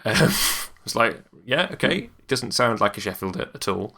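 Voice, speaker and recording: A man's voice: on the low side, expressive, moderate pace, vocal fry, sharp tone. A man co-hosting a podcast in casual, hesitant chat. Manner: hesitant, lots of ums and uhs. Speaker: podcast co-host.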